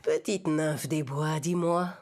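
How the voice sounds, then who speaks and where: A woman's voice: low voice, steady tone, slow, a crowd in the background. A woman playing a vain, cruel evil queen in a children's cartoon, with a haughty, theatrical, drawling delivery. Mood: menacing. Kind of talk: children's cartoon storybook acting.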